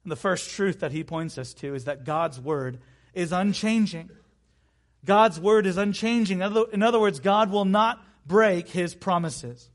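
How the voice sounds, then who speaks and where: Male preacher, thirties. High-pitched voice, emphatic sermon delivery with repeated phrases and chatty asides. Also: moderate pace. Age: 30s.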